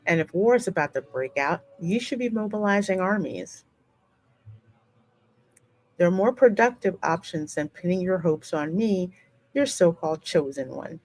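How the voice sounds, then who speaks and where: A woman hosting a gaming channel, reading game text aloud and adding expressive, informal commentary. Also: lowish voice, normal speed, no echo, rough recording.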